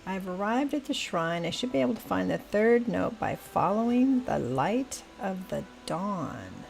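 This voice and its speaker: An older woman with a scratchy voice, slowly thinking aloud as she plays a video game. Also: medium pitch, vocal fry. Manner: thinking aloud, slow and musing. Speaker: gaming channel host. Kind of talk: casual gameplay commentary. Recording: steady rain noise behind. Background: rain.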